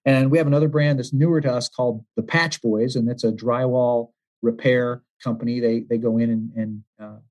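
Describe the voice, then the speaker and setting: A man's voice: mid-range voice, fairly even intonation, normal speed, a little mellow, low-quality recording. A middle-aged man from the western United States, a business executive answering interview questions in a friendly, explanatory way with frequent fillers. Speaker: franchise executive guest.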